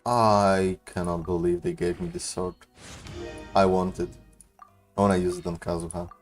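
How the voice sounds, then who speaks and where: A man's voice: low voice, flat delivery, moderate pace. A man doing casual gaming commentary, slangy and musing aloud. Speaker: gaming streamer. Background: game music.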